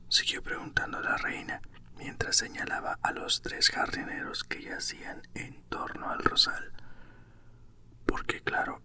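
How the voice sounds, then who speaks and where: Whispering storyteller from a hushed reading, Spain accent, male. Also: extremely high pitch. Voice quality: breathy, unvoiced whisper. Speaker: narrator reading a children's story.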